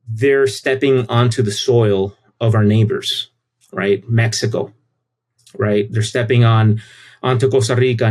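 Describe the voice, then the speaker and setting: A man's voice: medium pitch, darkish tone. A man, talking casually and informally as an interview guest, often repeating phrases for emphasis. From United States. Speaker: interview guest, tech company CEO.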